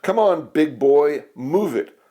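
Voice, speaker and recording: A man's voice: mid-range voice, very animated, measured pace, a little mellow. A man voicing a mean, scuffed young bully ball in a children's storybook, with a jeering, pushy manner.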